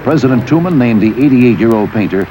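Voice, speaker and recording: A man's voice: medium pitch, lively intonation, quick pace, highs cut off, noisy background. An American man reading scripted historical documentary narration. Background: music.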